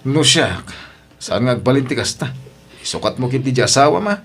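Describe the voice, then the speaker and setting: A man's voice: mid-range voice, animated, brisk, a bit bright, a little hiss or hum. An actor playing a blunt, hardworking family man in a radio drama, with an Ilocano accent and an insistent, plain-spoken manner. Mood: blunt, nagging. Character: hardworking father and son, blunt with his relatives.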